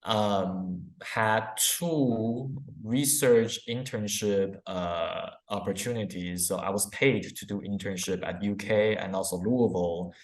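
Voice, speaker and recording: A man's voice: lowish voice, takes it slowly, hardly any pauses. A man from Taiwan and Belize, a professor answering interview questions in an informal, explanatory way. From born in Taiwan, grew up in Belize. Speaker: psychology professor, interview guest. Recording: roomy, echoey interview sound.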